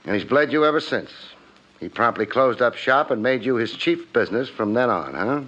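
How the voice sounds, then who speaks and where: Casting: wry, tough 1950s radio gumshoe, mid-pitched and very lively in intonation, clipped delivery, muffled vintage recording. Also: male voice, moderate pace. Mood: skeptical. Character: tough, wry private detective, narrating and interrogating. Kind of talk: 1950s hardboiled radio detective acting.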